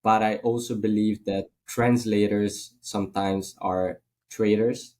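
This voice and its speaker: A man's voice: lowish voice, level tone, slow pace, darkish tone. A man from Italy with an Italian accent, a guest in a casual podcast interview, speaking thoughtfully with many fillers.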